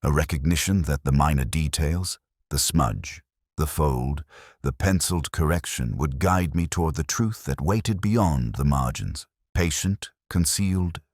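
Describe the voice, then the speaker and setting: A man playing a pensive, observant detective who narrates his case in a measured, literary, contemplative way. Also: extremely low pitch, no echo, clean studio sound, full character voice. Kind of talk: scripted first-person detective narration.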